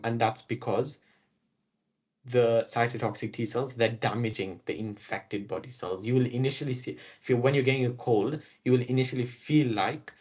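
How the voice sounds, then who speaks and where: A British man giving a recorded classroom lecture on biology. He talks through each step in order, filling pauses with "okay" and "um". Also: mid-range voice, darkish tone. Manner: explains step by step, often saying "okay" and "um". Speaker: biology teacher.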